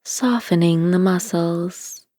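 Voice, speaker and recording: Slow, low-voiced woman guiding meditation. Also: dry recording. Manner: calm, soothing, deliberate. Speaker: guided meditation narrator. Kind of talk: scripted guided meditation, slow gentle instruction.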